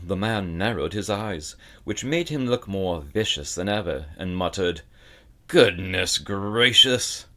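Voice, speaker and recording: A man reading a story aloud as narrator, in an expressive storybook style. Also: fairly low pitch.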